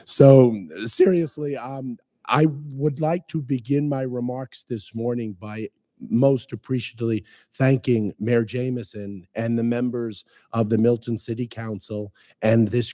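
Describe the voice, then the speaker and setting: A man with a hoarse voice from a cold, giving a formal, earnest speech as guest speaker at a veterans breakfast in a council chamber. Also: medium pitch, fairly even intonation, unhurried, warm tone, dry recording. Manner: earnest, ceremonial, with light humor.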